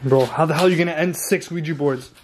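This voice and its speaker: A man's voice: a little high, fast talker, slight background noise, poor audio. A young man filming his friend, reacting with alarmed, urgent chatter and calling everyone "bro". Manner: alarmed, urgent, repeats "bro" and "chill" constantly. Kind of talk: casual reactive commentary.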